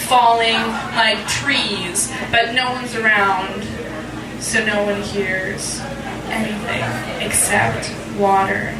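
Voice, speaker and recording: Echoey, distant woman poet reading live. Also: fairly high pitch. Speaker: poet, featured reader. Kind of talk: live poetry reading with informal asides. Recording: echoey, distant mic, loud crowd noise.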